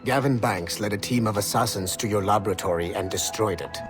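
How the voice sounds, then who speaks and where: An actor playing a stern, businesslike field agent in a game audio log, speaking in a formal, clipped, matter-of-fact way. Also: male voice, on the low side, fairly quick, natural voice. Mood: resentful, firm. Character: stern, businesslike male Templar field agent hunting assassins. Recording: music under the voice.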